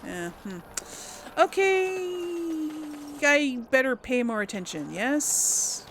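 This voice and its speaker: A woman's voice: high-pitched, big pitch swings, slow, a bit bright, slight background noise. A woman playing a video game and giving casual commentary, thinking aloud informally for her viewers. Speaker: video game let's player.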